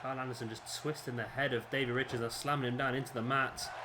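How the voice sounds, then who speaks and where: Hoarse man, casual play-by-play, rambling, mid-pitched, loud background noise. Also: fast talker. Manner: relaxed, rambling, with fillers and self-corrections. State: slightly hoarse, losing his voice. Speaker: gameplay commentator. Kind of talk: casual play-by-play game commentary.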